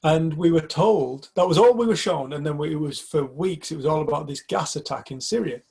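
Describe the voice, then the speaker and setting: A man's voice: a little high, fairly quick, vocal fry, darkish tone, slightly rough. A man from the UK in a casual group discussion, talking reflectively and hesitantly, often restarting his sentences. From United Kingdom. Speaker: community group member.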